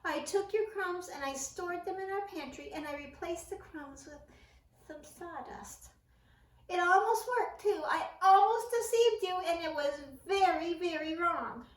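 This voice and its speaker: A woman voicing a guilty, tearful little boy mouse in a squeaky, pleading voice, recorded from a distance in a roomy space. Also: unhurried. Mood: confessing. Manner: remorseful, pleading. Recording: roomy sound from a distant mic.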